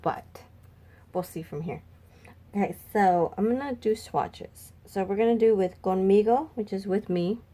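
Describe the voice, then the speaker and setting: A woman makeup vlogger chatting casually and thinking aloud, her voice a little raspy and stuffy from a cold. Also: medium pitch, a little slow, warm tone. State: slightly raspy, congested from a cold. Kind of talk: casual makeup swatch review chat.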